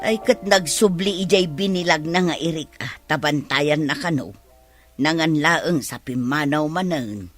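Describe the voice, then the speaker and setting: A woman's voice: deep voice, unhurried, soft background music. A woman with a Filipino accent acting in a radio drama as a folksy, fretful older village mother.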